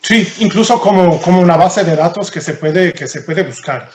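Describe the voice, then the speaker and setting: A man's voice: high voice, moderate pace, a little mellow, reverberant. A man from Portugal with a European Portuguese accent, giving a conference talk and answering questions, often repeating words as he thinks. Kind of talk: conference presentation and Q&A.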